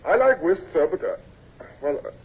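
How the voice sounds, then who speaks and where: A man's voice: sits high, expressive, moderate pace, slightly rough. A man playing a shy, nervous young midshipman, hesitant, stammering and deferential, in a 1950s radio drama. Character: shy, nervous teenage midshipman, polite and evasive. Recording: muffled vintage radio recording.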